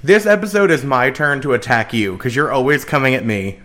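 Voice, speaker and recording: A man in the middle of his range co-hosting a casual true-crime podcast. He teases his partner playfully and breaks into laughter often. His pitch sits right at the average. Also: lively intonation, a little fast, a crowd in the background. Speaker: podcast co-host. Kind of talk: casual banter about a true-crime case.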